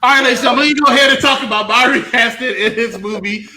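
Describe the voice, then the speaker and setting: A man's voice: extremely high pitch, racing, crowd noise. A man hosting a casual panel debate about movies in a teasing, playfully combative way. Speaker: host of a panel show.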